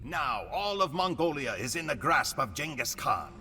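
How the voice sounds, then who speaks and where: An actor playing a Mongol chronicler, narrating a game cutscene in a grave, measured storytelling manner. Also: male voice, medium pitch, big pitch swings. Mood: solemn. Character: male Mongol chronicler narrating war history. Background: music.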